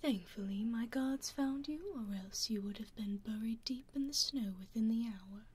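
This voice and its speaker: Woman doing a teasing vampire lady, british accent, husky whisper, kinda high, binaural asmr. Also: moderate pace. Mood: sly. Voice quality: soft whisper, sly and mocking. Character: teasing aristocratic female vampire, castle owner. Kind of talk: ASMR roleplay. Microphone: binaural mic.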